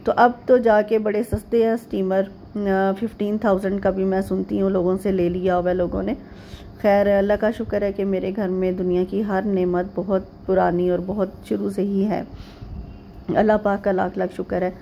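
A middle-aged woman from Pakistan narrating a home vlog in a rambling, chatty way, with frequent pauses and fillers. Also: mid-range voice, flat delivery, gravelly, mellow, a little hiss or hum. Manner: homely, chatty tone. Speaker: vlogger. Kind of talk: casual chatty voice-over narration.

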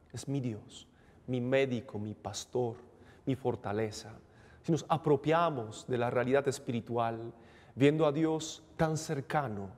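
A man in his forties delivers a homily from a chapel lectern, steady and thoughtful, with frequent small pauses. His voice sits at an ordinary middle pitch. The lectern microphone catches the long reverb of the church around him. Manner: calm, reflective preaching with frequent "eh" pauses. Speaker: priest. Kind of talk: homily at Mass.

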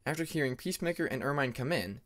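A man's voice: mid-range voice, expressive, fast talker, a bit bright. A man reading scripted story narration as an audiobook narrator.

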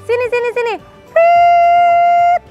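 A woman's voice: very high voice, slow pace, highs cut off, music in the background. A woman in her thirties hosting a casual family vlog at an outdoor pet cafe, chatty and playful, coaxing her kids along. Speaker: vlog host.

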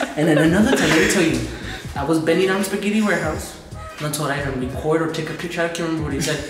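A young man, hosting a casual vlog and telling rambling, joking stories while filming himself in a dark abandoned prison. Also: fairly high pitch, lively intonation, brisk. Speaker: vlog host. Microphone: handheld camera at arm's length. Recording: echoey concrete halls with music.

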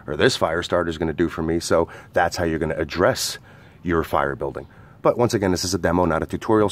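A man, an outdoor skills instructor, casually explaining a fire starter while demonstrating it in his backyard, with lots of "uh" and "go ahead". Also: deep voice. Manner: relaxed, filler-heavy explaining.

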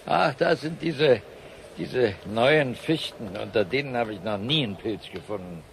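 An actor playing a patient, kindly old grandfather who gently explains things in a radio play. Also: mid-range voice, lively intonation, a little slow, gravelly, slight background noise. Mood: gentle, encouraging. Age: older. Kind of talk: German radio play acting.

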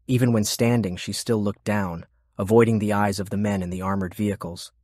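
Low-voiced man narrating an audiobook. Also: fairly even intonation, a little fast, a little mellow, no echo, studio quality. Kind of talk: scripted fiction narration.

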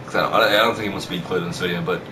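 A young man with a fairly low voice tells stories casually and brashly, swearing and repeating himself as he goes. He is some way from the mic, with music clearly playing behind him. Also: steady tone, racing. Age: teenager or young adult. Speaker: vlog host telling school stories. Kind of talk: casual storytelling chat. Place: small bedroom, indoors. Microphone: camera mic at a distance. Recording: distant mic with loud music.

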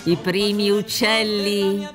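A woman's voice: mid-range voice, level tone, slow pace, over music, natural voice. A woman acting an exuberant, lyrical night reveller in a radio drama, theatrical and effusive.

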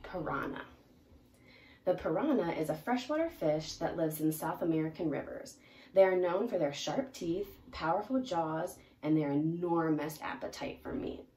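A young adult woman presenting a picture-book read-aloud in an expressive, emphatic way for young children. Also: lowish voice, normal speed. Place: small indoor room, seated in armchair. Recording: roomy, distant mic, faint noise.

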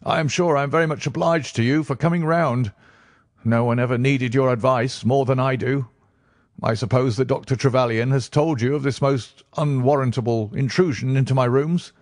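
A man's voice: mid-range voice, fairly even intonation, moderate pace, no room sound, studio quality, voice pushed up, natural voice. A man voicing a jittery, self-important fat man in a reedy, quavering, fussy voice for an audiobook. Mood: nervous, fussy. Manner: nervous, fussy. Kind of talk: audiobook character acting.

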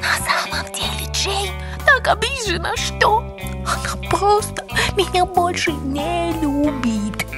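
A woman's voice: extremely high pitch, slow. A woman voicing a theatrical, self-pitying winged pig in a cartoon, gushing and plaintive, with music behind her. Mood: mournful. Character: melodramatic, self-pitying winged pig girlfriend, squeamishly dramatic. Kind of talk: animated cartoon voice acting.

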